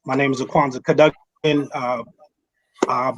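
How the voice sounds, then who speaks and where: A man born in New York, a company founder, speaking calmly as a panel guest with some halting pauses. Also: mid-range voice, poor audio.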